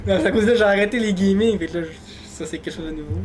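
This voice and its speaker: A man with a Québécois accent co-hosting a podcast, rambling casually, laughing often and trailing off quietly. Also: high-pitched, racing, a little hiss or hum. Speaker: podcast co-host. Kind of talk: casual chat.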